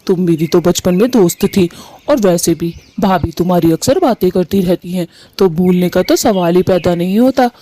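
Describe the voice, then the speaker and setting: A woman voicing a shy, soft-hearted young male bird, bashful and earnest, in a cartoon story. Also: on the low side, moderate pace, lightly acted. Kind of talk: animated cartoon story dialogue.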